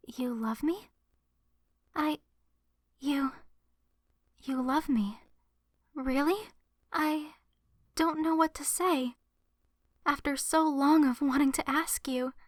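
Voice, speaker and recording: A high-voiced, shy young succubus played by a woman confides in the listener in an ASMR roleplay. Her delivery is soft, earnest and a little hesitant, with a lovestruck gentleness. The sound is close and very dry, with no room echo. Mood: astonished, tender. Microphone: close microphone in a dry space.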